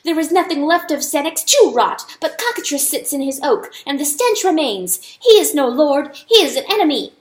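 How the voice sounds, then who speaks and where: A woman voicing a small, desperate male mouse in a dramatic animal fable, squeaky and shrill with urgent accusation. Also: sing-song, moderate pace, few pauses, echoey room. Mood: grim accusation. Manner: shrieking, pleading urgency.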